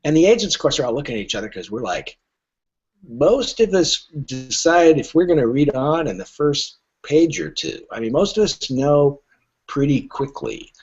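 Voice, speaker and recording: Male podcast guest, literary agent type. Higher-than-average voice with a husky edge, wry and emphatic storytelling. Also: very animated, moderate pace, darkish tone. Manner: wry, emphatic, acts out quoted remarks. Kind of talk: casual podcast conversation with anecdotes. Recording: dull, lo-fi podcast audio.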